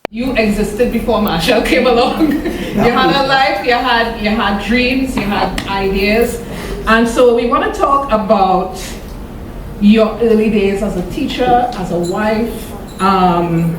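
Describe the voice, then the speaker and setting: A woman's voice: medium pitch, a little slow. Lady interviewing on stage, far off mic, noisy crowd, echoey. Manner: warm, knowledgeable questioning. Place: event stage. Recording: very echoey, distant event recording.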